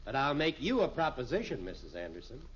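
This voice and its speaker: A man's voice: mid-range voice, huge pitch swings, fast talker, a bit bright. An actor playing a jovial, folksy middle-aged American businessman and dinner guest in a 1950s radio sitcom, speaking emphatically. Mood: hearty, insistent. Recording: dull vintage radio recording, audience noise, hiss.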